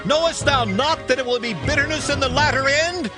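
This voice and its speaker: An actor voices an ancient army captain in a scripture drama. His male voice rides high, declaiming solemn, urgent archaic lines over prominent music. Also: a little fast. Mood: anguished appeal. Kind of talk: dramatized scripture audiobook acting.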